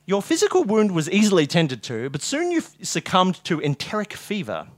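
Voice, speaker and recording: Military hospital doctor, male performer: formal, explanatory, tactful comic-story figure with a fairly high voice. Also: huge pitch swings, normal speed, no room sound, heavily acted. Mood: measured.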